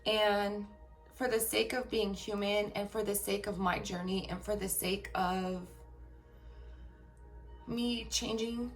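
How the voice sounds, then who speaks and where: A woman telling a personal story to her viewers. She speaks frankly and emphatically and keeps checking in with "okay?". Her voice is turning raspy after a long workday. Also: medium pitch, level tone, slow pace, long pauses, faint music behind.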